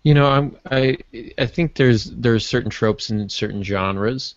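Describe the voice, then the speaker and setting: A man's voice: medium pitch, unhurried, no room sound, highs cut off. A man co-hosting a podcast in casual chat, bantering and dropping in asides as he makes his point. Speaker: podcast co-host.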